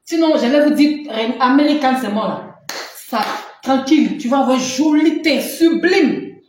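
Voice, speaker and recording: A woman's voice: high voice, unhurried, darkish tone. A woman selling skin products on a live stream, chatting casually, laughing often and answering viewers' comments, with a runny nose. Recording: very echoey room with faint noise.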